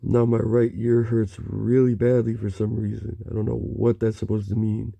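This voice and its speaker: A man talking in a halting, rambling monologue, murmuring and pausing with frequent low hums. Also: level tone, gravelly, dark tone.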